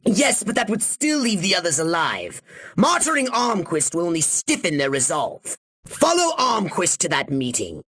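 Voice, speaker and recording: A man voicing a pompous, short-tempered alien commander in a video game, haughty and precisely worded, with a nasal, sharp-edged delivery. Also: very high-pitched, vocal fry, dry recording, clean studio sound, heavily acted. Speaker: mission commander giving orders. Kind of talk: theatrical video game voice acting.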